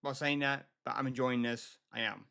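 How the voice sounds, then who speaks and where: An American man from Michigan chatting casually to his livestream viewers, halting and often repeating himself. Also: medium pitch, fairly even intonation, vocal fry, bright, forceful tone, smooth. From Michigan, United States. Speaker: livestream host.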